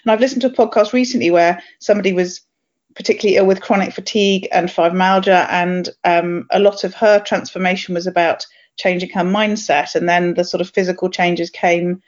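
A woman's voice: lowish voice, fairly even intonation, fairly quick, muffled recording. A woman hosting a podcast interview, curious and chatty, asking questions with some fillers. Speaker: podcast host.

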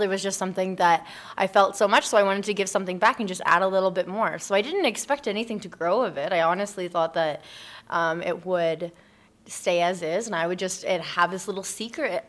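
A woman's voice: on the low side, fairly bright tone. A young woman interview guest telling personal stories casually, in an informal, rambling way with lots of "like". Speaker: interview guest, founder of a volunteer project.

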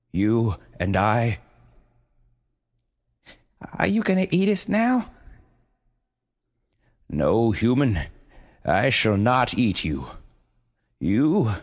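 A man's voice: fairly low pitch, voice pushed up, heavily acted. A man doing a grave, solemn giant panda. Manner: slow, measured, speechmaking. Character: panda leader, male, huge, grave and solemn.

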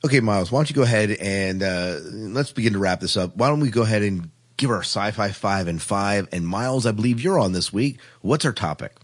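A man's voice: fairly low pitch, animated, fairly quick, few pauses. A man hosting a casual podcast chat, often repeating and restarting his phrases as he talks. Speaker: podcast host.

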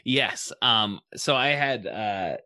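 A man with a bright, forward voice pitched on the low side hosts a podcast discussion. He talks casually and analytically, phrasing his thoughts haltingly, in a dry, close recording. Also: lively intonation, dry recording.

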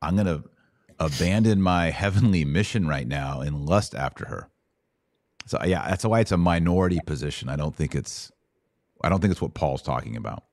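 A man with a very low voice teaches scripture in a conversational podcast style. He explains patiently in steps and checks in with short tag questions. The sound is dry and close, without room reflections. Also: animated, moderate pace, a little mellow, dry recording. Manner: explanatory, checks understanding with rhetorical tags. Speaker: host and Bible teacher answering questions.

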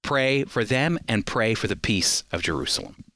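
A man's voice: lowish voice, expressive, normal speed, bright, forceful tone, dry recording, clean studio sound. A middle-aged American man hosting a program, giving scripted commentary with an earnest, preacherly emphasis. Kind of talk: scripted faith and politics commentary.

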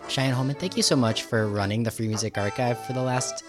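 Male podcast interviewer, mid-range voice, rambling and halting in casual conversation, faint music. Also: mid-range voice. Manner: rambling, halting, thinking aloud. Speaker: podcast host and radio producer.

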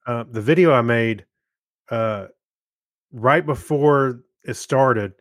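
A man's voice: medium pitch, slow, dry recording. Easygoing man, dry close sound. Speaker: podcast co-host. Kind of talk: casual chat.

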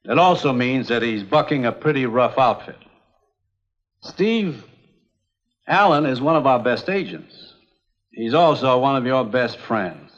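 An actor playing an earnest, authoritative commissioner in a 1950s adventure drama. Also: male voice, mid-range voice, expressive, a little slow, pauses often, lightly acted. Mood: grave, urgent. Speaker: commissioner giving orders. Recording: dull, muffled 1950s soundtrack.

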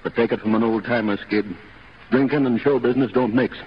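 A man's voice: medium pitch, steady tone, a little fast, slightly rough, lo-fi sound, lightly acted. A man acting in a 1930s radio drama as a blunt, fatherly veteran Broadway manager, firm and straight-talking. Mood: stern.

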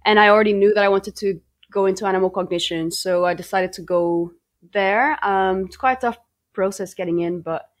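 A woman's voice: mid-range voice, a little fast. Brazilian-born woman researcher chatting casually. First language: Brazilian Portuguese. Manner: chatty, many ums and restarts. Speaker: PhD researcher guest. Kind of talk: podcast interview, casual storytelling.

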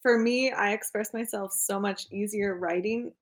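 A woman's voice: mid-range voice, fairly even intonation, normal speed. Laughing, chatty woman guest. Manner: laughs often, self-deprecating. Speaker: podcast guest. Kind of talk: casual interview chat.

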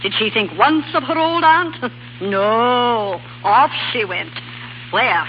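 An actress playing a bitter, scornful old shopkeeping aunt in a 1930s radio play, sharp-tongued and theatrical. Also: female voice, a little high, takes it slowly. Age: older. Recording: dull 1930s radio, faint hiss.

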